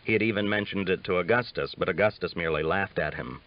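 A man's voice: low-pitched, quick pace. A man reading a western novel aloud as a scripted audiobook narration. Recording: dull lo-fi audiobook recording.

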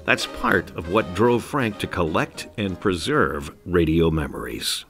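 A man reading scripted documentary narration in a measured, even delivery. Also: sits low, husky. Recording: music bed under voice.

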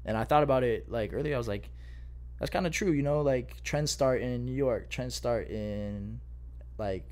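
A man's voice: medium pitch, normal speed, darkish tone. A young man hosting a casual podcast, slangy and agreeable, speaking into a close studio mic. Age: young adult. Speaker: podcast host. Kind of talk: casual chat. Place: small living-room podcast set. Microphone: close studio mic with headset monitoring.

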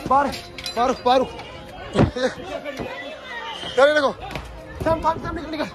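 A man's voice: very high voice, slow pace, music in the background. A man giving urgent, clipped commands in a tense situation. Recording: noisy phone clip amid crowd.

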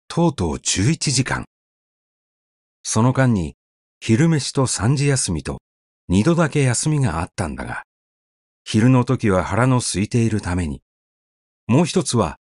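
A man's voice: lowish voice, a little mellow, no room sound, studio quality. A man narrating a short story as a scripted audiobook reading, telling it expressively. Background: faint background music.

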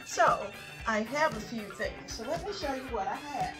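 A woman's voice: sits high, lively intonation, normal speed, music in the background. An older woman hosting a home decor vlog, talking casually and encouragingly as she demonstrates.

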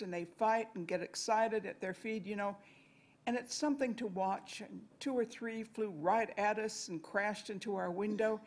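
A woman's voice: medium pitch, a little mellow. An older woman reading a conversational poem aloud at a podium microphone. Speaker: amateur reader in a reading-aloud group. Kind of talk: expressive poetry recitation. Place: library meeting room, indoors.

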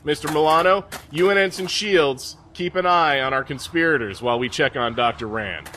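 Casting: male actor as seasoned space captain, stern and sardonic, forceful bright voice, faint noise behind, sci-fi audio drama. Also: on the high side, moderate pace. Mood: commanding. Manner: mocking, folksy, commanding.

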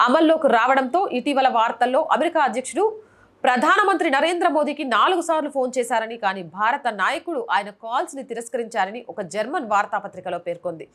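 A woman's voice: high-pitched, fairly bright tone. A woman journalist reading scripted news narration as a presenter.

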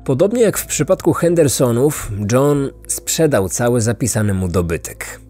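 A man narrating a scripted true-crime story as a podcast host, in a measured storytelling manner. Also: mid-range voice, animated, mellow, faint music behind.

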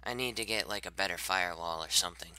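A man in his twenties with a slightly raspy voice, rambling casually while streaming a game, repeating himself and trailing off. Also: low-pitched, quick pace, bright, forceful tone, a little hiss or hum. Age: 20s. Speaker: Minecraft server owner streaming gameplay. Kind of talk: casual live-stream commentary.

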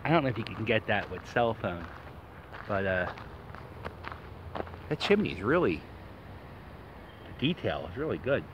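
A man's voice: fairly low pitch, very animated, normal speed, long pauses, dull audio, noisy background. A man in his thirties from Philadelphia, vlogging while walking through a wooded park, talking to himself in an anxious, joking ramble into a phone held at arm's length. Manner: anxious rambling self-talk, jokey. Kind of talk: walking selfie vlog.

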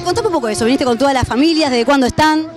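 A woman's voice: high voice, very fast. A young Argentine woman reporting trackside outdoors, asking upbeat, chatty questions into a handheld mic. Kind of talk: lively field interview. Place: outdoors at a crowded racetrack campsite.

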